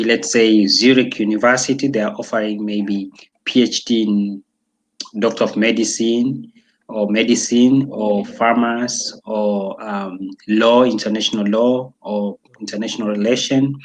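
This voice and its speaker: A man's voice: mid-range voice, low-quality recording. Hesitant Tanzanian-born man chatting. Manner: hesitant, many fillers and restarts. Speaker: interview guest. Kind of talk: casual interview chat.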